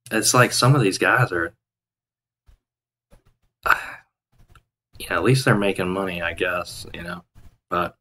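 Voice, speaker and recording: A man's voice: deep voice, sharp tone, a little hiss or hum. A man in his thirties chatting casually on a day-trading live stream from home, rambling with restarts and fillers. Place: home room, indoors. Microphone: headset.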